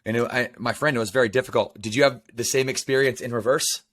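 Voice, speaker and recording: American man, podcast host, eager, chatty, rambling questions, mid-pitched voice. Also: fairly even intonation, fast talker, a bit bright. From United States. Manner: enthusiastic, talkative, rambling questions. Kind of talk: casual interview.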